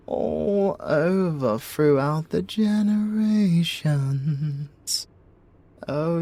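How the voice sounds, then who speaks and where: A British man voicing a possessive, doting siren lover in an intimate ASMR roleplay, breathy and seductive with lingering pauses. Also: a little high, a little mellow, exaggerated voice. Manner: slow, breathy, seductive crooning with drawn-out pauses.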